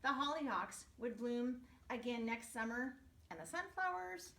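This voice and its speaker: High, bright woman teacher in an echoey room. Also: a little slow. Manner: expressive, explaining to children, spells out words. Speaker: first grade teacher reading to her class. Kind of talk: storybook read-aloud for young children with asides. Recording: echoey room, distant microphone.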